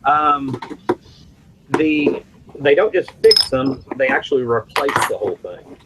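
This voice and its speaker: A man rambles through an easygoing home vlog, stopping to restart sentences and fill pauses. A noticeable layer of background noise sits under his voice. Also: mid-range voice. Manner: rambling, with many restarts and fillers. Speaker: vlogger. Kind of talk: casual home chat. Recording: noisy home recording.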